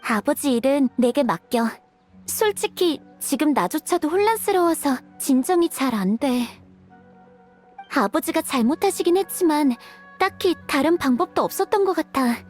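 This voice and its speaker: Young merchant's daughter, game role: very high register, animated pitch, earnest, caring. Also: female voice, normal speed, soft background music. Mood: concerned, sad. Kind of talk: dubbed video game acting.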